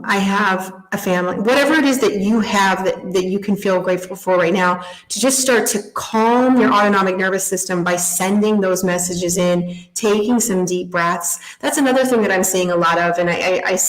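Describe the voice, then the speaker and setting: A woman speaking as a guest in a podcast interview, explaining ideas thoughtfully with gentle everyday images. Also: lowish voice. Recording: roomy echo.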